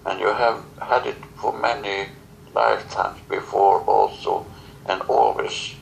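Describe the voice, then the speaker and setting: A man with a low, heavily creaky voice speaks non-native English in solemn, broken phrases, as if delivering channeled spiritual teaching. His delivery is very slow, and there is faint noise behind him. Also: fairly bright tone, poor audio. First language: not English. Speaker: spiritual channeler answering questions.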